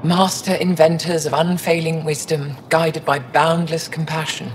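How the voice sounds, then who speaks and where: A woman voicing an elderly, wise and wry alien scholar in a video game, speaking in a measured, dignified way. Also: deep voice, level tone, a little hiss or hum, poor audio. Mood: solemn, reflective. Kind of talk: video game dialogue acting.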